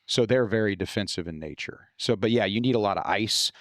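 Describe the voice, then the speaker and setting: A low-pitched American man chats as a podcast guest, an author explaining his work. His delivery is informal and sweary. The recording is dry and close, with little room sound. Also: a little fast, a bit bright, no room sound. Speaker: guest author discussing own novels. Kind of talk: casual podcast conversation.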